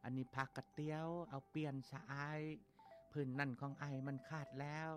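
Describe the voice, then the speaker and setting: A man voicing a gentle, lovesick young village princess with an Isan accent, pleading softly in a sing-song way. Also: low voice, animated, moderate pace, faint music behind, voice pushed up. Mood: tender.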